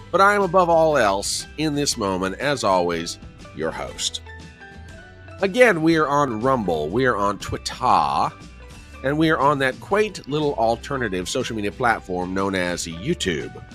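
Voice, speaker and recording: A middle-aged man hosting a casual livestream, chatty and jokey, a little hoarse and nasal from a cold. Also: mid-range voice, a bit bright. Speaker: host.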